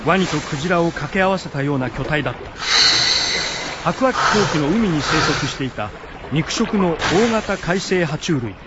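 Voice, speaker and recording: A man's voice: a little high, lively intonation, moderate pace, heavy background noise. A man narrating a scripted radio adventure drama. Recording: muffled, music behind.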